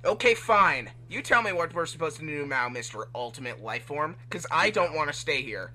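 Young cartoon hedgehog hero, acted by a man: indignant, casual, very sharp tone, animated pitch. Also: brisk, a little hiss or hum. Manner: casual, complaining.

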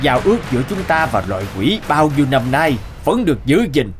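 A man's voice: fairly high pitch, sing-song, fairly quick, music in the background. Man doing a stammering, anxious village official. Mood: worried. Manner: nervous, stammering with fillers.

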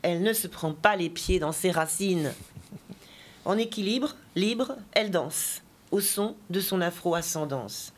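A woman's voice: lowish voice, lively intonation, a little hiss or hum. Chatty woman poet, full of asides. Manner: digressive, chatty storytelling with asides; slow, deliberate poetry recitation. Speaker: poet and teacher, interview guest. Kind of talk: interview talk and poetry reading.

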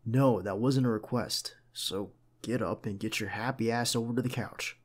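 A man playing a protective, caring bodyguard in an ASMR roleplay, talking conversationally and with gentle insistence to his boss. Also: medium pitch, fairly even intonation, moderate pace, natural voice. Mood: concerned, firm. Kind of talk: ASMR roleplay acting, one side of a dialogue.

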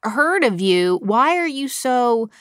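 A woman's voice: a little high, sing-song, slow pace, no echo, clean studio sound. A woman hosting a podcast interview, asking curious, probing questions. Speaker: podcast host.

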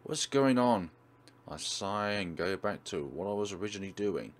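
A man's voice: on the low side, lively intonation, normal speed. A man hosting a let's play, dramatically reading a visual novel aloud and commenting on it.

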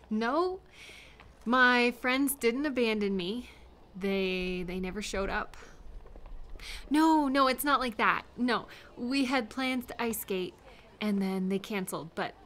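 Bright-voiced, nervous young woman, acted by a woman. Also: mid-range voice. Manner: halting, self-talking, giggly and apologetic. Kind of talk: intimate audio roleplay acting.

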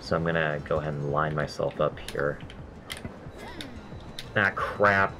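A man's voice: very deep voice, measured pace, bright, forceful tone, soft background music. A man with a nasal voice chatting casually while playing a game, rambling between stories and swearing at his mistakes. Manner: rambling, self-deprecating, swears at deaths. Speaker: gameplay commentator. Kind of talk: casual let's-play chat.

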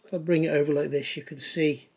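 A man's voice: fairly high pitch, steady tone, fast, gravelly, darkish tone, breathy edge. A middle-aged man casually reviewing scale model parts at his table, chatty and enthusiastic, with lots of ums and gushing praise. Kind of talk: casual hands-on product review. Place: indoors at a table.

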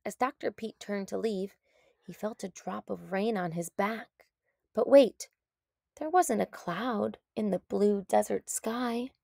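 A woman narrating a children's story in a deliberate, expressive read-aloud style. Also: medium pitch, gravelly, no echo, clean studio sound.

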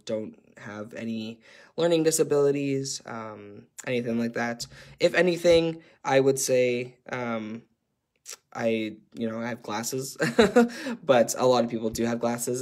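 A man's voice: mid-range voice, a little mellow. A young man with a Canadian accent leading an online workshop, speaking earnestly and hesitantly with many filler sounds. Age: young adult. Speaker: workshop facilitator.

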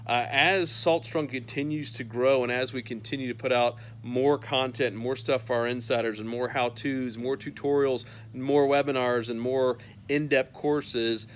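A man's voice: medium pitch, steady tone, normal speed, hardly any pauses, slight background noise. A man in his thirties hosting a fishing newsletter roundup, talking casually and upbeat to a phone camera on an outdoor patio, with many "uh" fillers. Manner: upbeat and chatty, lots of "uh" fillers.

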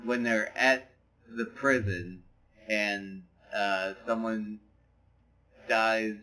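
A man's voice: on the low side, fairly even intonation, very slow, sharp tone. A man co-hosting a podcast in a casual chat, rambling and hesitant, full of fillers. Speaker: podcast co-host. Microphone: podcast microphone.

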